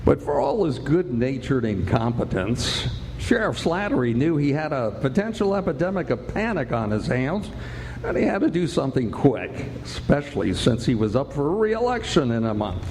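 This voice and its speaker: An actor playing the dramatic narrator of a western legend, reading scripted narration in an old-time radio drama style. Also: male voice, mid-range voice, big pitch swings, moderate pace, background noise. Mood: wry, dramatic. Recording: echoey hall, music behind.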